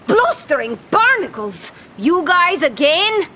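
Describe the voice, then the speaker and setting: Bright, high-voiced brash pirate captain. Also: female voice, very animated, slow, slight background noise, heavily acted. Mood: wry, wary. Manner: theatrical, swaggering. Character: bold, ambitious female pirate captain, brash and sardonic. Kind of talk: animated adventure acting.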